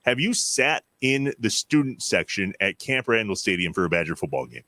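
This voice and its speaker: An American man with a lowish voice that cuts through brightly. He co-hosts casual sports talk and tells his stories with emphatic enthusiasm. The sound is close and dry. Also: big pitch swings, normal speed, dry recording. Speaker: sports show co-host.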